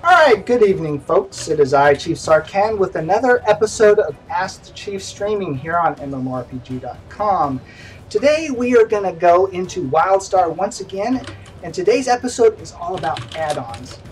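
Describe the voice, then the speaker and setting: A man's voice: sits high, very animated, moderate pace, far from the mic, low-quality recording. A middle-aged man hosting a casual game stream, chatty and self-deprecating, recorded at home. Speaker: stream host. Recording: voice from away from the mic, faint music behind.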